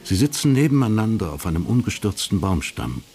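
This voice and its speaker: An actor as the detached narrator of a historical crime radio drama, measured and factual. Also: male voice, on the low side, sing-song, warm tone, soft background music.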